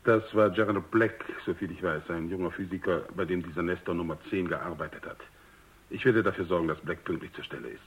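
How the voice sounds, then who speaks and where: An actor playing a self-justifying military general in a German radio drama, formal and explanatory with some bluster. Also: male voice, low-pitched, a little fast. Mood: courteous insistence. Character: self-justifying, brusque military general, courteous to superiors' experts. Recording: slightly dull radio recording.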